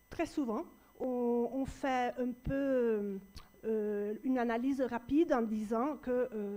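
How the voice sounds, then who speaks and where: A woman's voice: fairly high pitch, dark tone. A woman moderating a public panel debate, speaking with many fillers and restarts. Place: public hall with audience.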